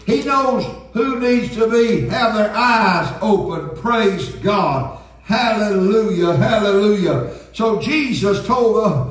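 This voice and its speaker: A man's voice: high-pitched, expressive, slow. A man preaching an earnest Pentecostal sermon as a pastor. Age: 70s. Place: church sanctuary, indoors at a pulpit. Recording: very echoey church sanctuary, distant sound.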